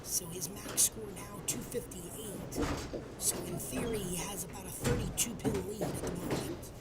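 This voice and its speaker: A man's voice: high voice, moderate pace, loud music behind the voice. Man commentating bowling while getting over a cold. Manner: calm, matter-of-fact scorekeeping commentary. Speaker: tournament director and commentator. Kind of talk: live bowling play-by-play commentary. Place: bowling alley. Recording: distant mic with loud background noise.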